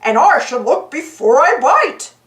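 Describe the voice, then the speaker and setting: A woman voicing a clumsy, dopey male cartoon dog, loud and excitable. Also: sits high, measured pace, exaggerated voice. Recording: roomy echo.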